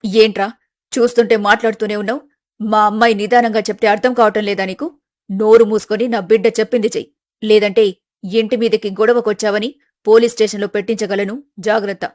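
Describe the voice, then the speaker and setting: Protective middle-aged mother, played by a woman, storybook drama, commanding and emphatic, pitched well under the performer's own voice. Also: level tone, fairly quick, darkish tone, dry recording, studio quality, natural voice. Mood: fierce scolding. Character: angry protective mother, middle-aged, fierce yet loving.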